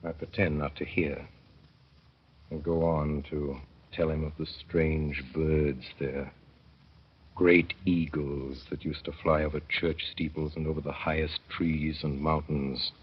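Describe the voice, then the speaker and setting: A man narrating a 1930s radio drama as a father, in a wry, mournful storytelling manner that slows to dwell on sad images. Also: extremely low pitch, breathy edge. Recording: dull old radio broadcast.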